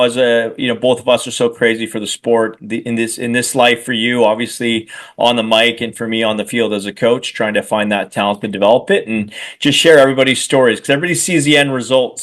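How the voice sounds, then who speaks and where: A man's voice: mid-range voice, fairly bright tone. Rambling man, casual co-host. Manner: rambling, many fillers and restarts, self-deprecating. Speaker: podcast co-host and rugby coach. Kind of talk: casual podcast chat.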